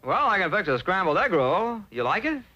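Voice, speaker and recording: A fussy cartoon cook. The delivery is high, piercing and swoops about in clipped phrases. The old soundtrack is dull. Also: male voice, brisk, full character voice. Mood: eager. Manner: clipped broken English, animated. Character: fussy Chinese palace cook, easily exasperated.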